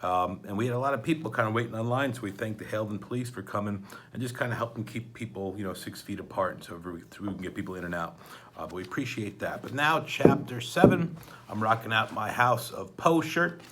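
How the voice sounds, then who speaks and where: A man's voice: lowish voice, brisk, very noisy. A man speaking as a school principal, casual and conversational, doing an informal read-aloud with asides.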